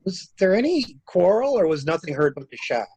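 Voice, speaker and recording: A man's voice: sits high, big pitch swings, a little fast, darkish tone, breathy edge, no room sound, heavily acted. Man playing a dry-sounding, hesitant stranded traveler. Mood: surprised, uneasy. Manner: clipped, hesitant replies. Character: polite, halting stranded male traveler, evasive witness. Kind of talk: amateur dramatic reading of a murder mystery.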